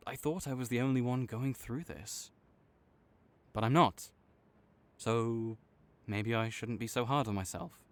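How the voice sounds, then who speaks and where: A man playing an arrogant, teasing supervillain rival with a soft spot, speaking theatrically to the listener in an audio roleplay. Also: medium pitch, close to an everyday voice. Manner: smug, teasing, theatrical with sighs. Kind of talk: dramatic roleplay monologue spoken to the listener.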